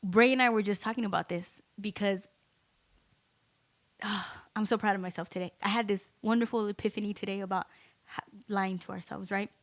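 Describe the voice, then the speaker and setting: A woman co-hosting a podcast in a recording studio, talking loudly and emphatically in casual chat and reading out listener comments. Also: medium pitch, fairly even intonation, moderate pace. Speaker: podcast co-host.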